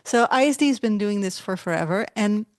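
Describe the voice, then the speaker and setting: A woman's voice: medium pitch, expressive, a little fast, a little mellow, no room sound, studio quality. A woman hosting an interview who introduces her guest and asks questions in a friendly, encouraging way. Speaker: interview host.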